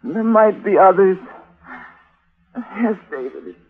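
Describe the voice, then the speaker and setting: An actress playing a dying woman in a 1940s radio drama, forgiving and entranced, speaking breathily in halting, melodramatic phrases. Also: medium pitch, animated, breathy edge. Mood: weak, pleading. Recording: muffled, thin 1940s radio recording.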